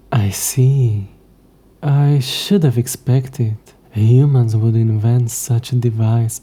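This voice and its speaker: A man voicing a smug, coy, centuries-old demon in an audio roleplay, speaking in a hushed, breathy voice with haughty, old-fashioned, taunting phrasing. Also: medium pitch. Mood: puzzled, indignant. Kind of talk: scripted audio roleplay addressed to the listener.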